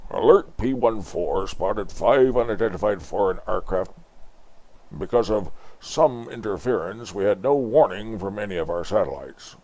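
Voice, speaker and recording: A man's voice: on the low side, expressive, moderate pace, darkish tone. An older man voicing an urgent, formal military officer reporting in a comic-book story. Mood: formal briefing. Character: urgent male military control-room officer reporting to his general.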